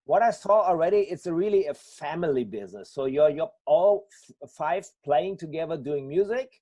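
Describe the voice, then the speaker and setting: A man's voice: a little high, expressive, moderate pace, warm tone. A man hosting a podcast interview, asking friendly questions that halt and restart. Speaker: podcast host interviewing a band. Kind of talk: casual interview.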